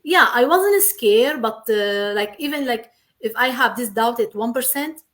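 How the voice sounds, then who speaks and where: A woman's voice: a little high, expressive, unhurried, a bit bright. A woman from Syria in her thirties, a guest in a casual interview, talking earnestly in simple, repetitive English. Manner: earnest, repeats herself, simple non-native English.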